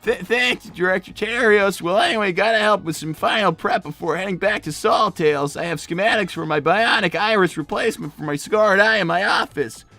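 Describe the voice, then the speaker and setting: A man's voice: high-pitched, brisk, hardly any pauses, pushed and bright, faint music behind. A man voicing a flustered, defensive young cartoon fox boy with a cracking voice and a stammering, exasperated manner, in an improvised comedy livestream. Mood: explaining. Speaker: livestream co-host in character.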